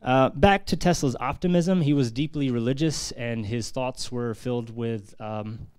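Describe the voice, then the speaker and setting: A man's voice: mid-range voice, a little slow, a little mellow, smooth. An American man giving a casual, earnest talk at a book launch in a press room, with frequent fillers. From United States. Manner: earnest, conversational with many fillers. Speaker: author presenting his book. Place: press centre conference room.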